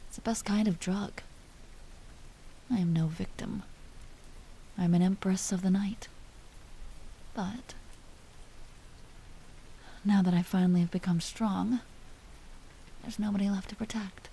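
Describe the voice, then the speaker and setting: A woman playing an aristocratic vampire duchess in a roleplay audio drama, commanding and teasing yet secretly tender, often hushed and confiding. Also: medium pitch, fairly even intonation, a little slow, long pauses, lightly acted, low-quality recording. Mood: proud, intense, then wistful, tender.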